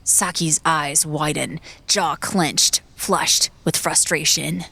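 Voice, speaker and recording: A woman gives solemn, poetic audiobook narration. Her voice is on the low side with some fry, and she takes her time. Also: steady tone, takes it slowly, bright, forceful tone. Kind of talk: dramatic scripted audiobook narration.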